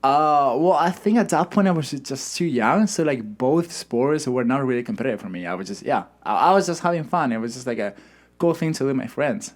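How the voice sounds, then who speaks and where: Young man from Spain, relaxed, hesitant, casual interview guest, middle pitch. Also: brisk. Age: young adult. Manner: relaxed, hesitant, many fillers and restarts. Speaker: guest, Olympic swimmer.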